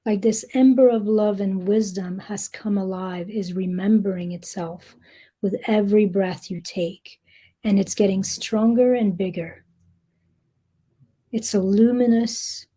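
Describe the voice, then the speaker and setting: A woman guiding a meditation in a soft, breathy, gentle voice, speaking deliberately with long pauses. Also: medium pitch, steady tone. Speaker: meditation guide. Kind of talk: guided meditation, slow soothing narration.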